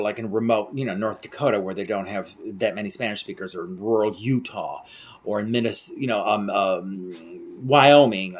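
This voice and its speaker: A middle-aged man from central rural Mexico, an interpreter telling stories to the camera in a rambling way, full of fillers and restarts, recorded close up in a small room at night. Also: on the low side. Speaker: interpreter and vlogger. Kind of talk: casual storytelling to camera.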